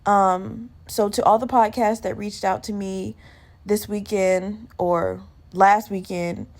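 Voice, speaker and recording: A woman hosts her solo podcast with a sleepy, just-out-of-bed growl and plenty of vocal fry. Her talk is loose and rambling, candid and self-deprecating, with casual swearing. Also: medium pitch, steady tone, takes it slowly, darkish tone. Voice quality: slightly gravelly morning voice. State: just woke up. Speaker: podcast host.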